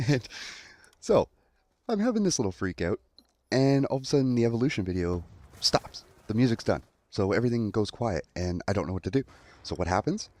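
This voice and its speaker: A man's voice: lowish voice, big pitch swings, brisk, darkish tone, no room sound. A young adult man telling a story in a casual commentary style, acting out quotes as he goes. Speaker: online storyteller.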